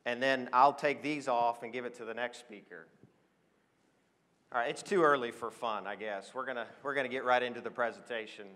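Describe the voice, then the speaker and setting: A man's voice: medium pitch, steady tone, brisk, fairly bright tone. An American man in his forties giving an emphatic, enthusiastic motivational business presentation on stage to an audience, in a large hall. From Switzerland, then Oregon. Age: 40s. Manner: emphatic, enthusiastic, addresses listeners with questions. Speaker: conference speaker, advertising professional. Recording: long echo of a large hall.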